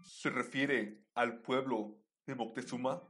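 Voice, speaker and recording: Hombre haciendo de gobernante inca lento y receloso. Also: male voice, medium pitch, steady tone, a bit bright, breathy edge. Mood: suspicious, bitter. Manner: slow, solemn, suspicious. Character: wary, aggrieved Inca ruler. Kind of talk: radio drama acting.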